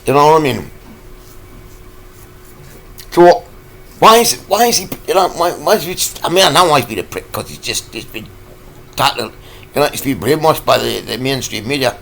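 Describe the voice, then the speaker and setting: Croaky, rambling man. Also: medium pitch, expressive, a bit bright. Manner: rambling and halting, full of fillers. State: croaky from a cold. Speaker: vlogger. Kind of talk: ranting political vlog.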